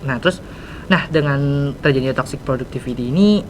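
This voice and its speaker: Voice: mid-range voice, fairly quick, slight background noise, rough recording. A teenage podcast host with a Jakarta accent, chatting casually and mixing English into slangy, informal speech. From Indonesia. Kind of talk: casual interview chat.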